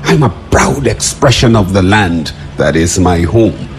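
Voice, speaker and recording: A man performing a dramatic spoken-word poem in a declamatory, drawn-out delivery. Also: expressive, background noise. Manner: declamatory, drawn-out delivery with big swings in pitch.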